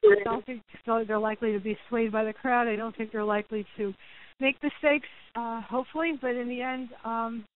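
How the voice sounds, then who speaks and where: A woman's voice: a little high, fairly even intonation, brisk. A woman who represents a boxing team, answering press questions on a conference call. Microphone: phone call. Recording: hissy, narrowband phone conference line.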